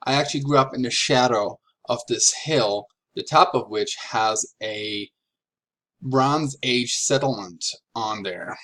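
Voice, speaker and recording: Slow-talking man giving a presentation. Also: medium pitch, bright, forceful tone. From Franconia, Germany. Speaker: graduate student presenter. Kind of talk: prepared slide presentation.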